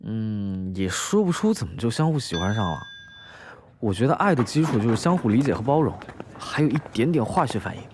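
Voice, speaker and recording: A man's voice: on the low side, big pitch swings, fairly quick, a little mellow, a little hiss or hum. An actor playing a mild, thoughtful, easygoing young man in a Chinese audio drama. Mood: mild, reflective.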